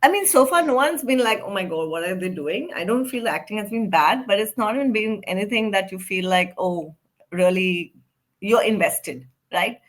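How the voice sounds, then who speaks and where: A woman's voice: mid-range voice, lively intonation, normal speed. A woman from India co-hosting a casual review chat, speaking hesitantly with fillers and restarts. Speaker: co-host. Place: home in London.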